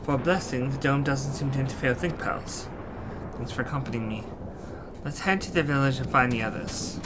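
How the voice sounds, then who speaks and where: A man's voice: medium pitch, brisk, heavy background noise, lightly acted. A man voicing a curious, scholarly young male adventurer in a fantasy game, with formal, bookish phrasing. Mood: studious. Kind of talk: voiced fantasy game dialogue. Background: loud game music.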